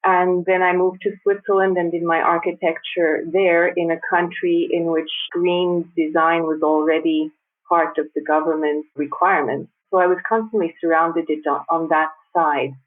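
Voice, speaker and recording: A woman in her fifties who grew up in the United States, speaking as a guest in a conversational interview, measured and thoughtful with light laughs. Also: on the low side, little pitch movement. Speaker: guest designer and architect. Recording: tinny phone-like remote line.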